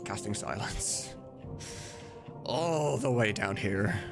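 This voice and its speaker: Low-pitched man, casual livestream chat, music behind. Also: expressive, slow, creaky. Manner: informal, swearing, thinking aloud. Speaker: streamer and mod voice director.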